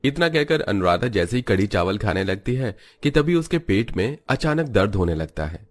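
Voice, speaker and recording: A man narrating a scripted moral story in a steady storytelling manner. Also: on the low side, normal speed.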